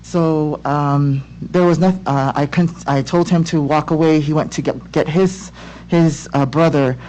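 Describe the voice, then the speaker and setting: A woman from Tonga speaking as a parent in public comment at a school board meeting, earnest and halting, with many restarts. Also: sits low, steady tone, mellow, slight background noise, low-quality recording. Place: home room on a video call. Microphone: laptop or webcam mic on video call.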